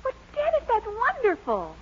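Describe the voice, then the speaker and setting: An actress playing an excitable, resourceful young daughter in a 1940s radio sitcom. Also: female voice, very high voice, normal speed, full character voice. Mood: curious, surprised. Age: young adult. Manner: eager, exclamatory. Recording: muffled, noisy old radio recording, audience.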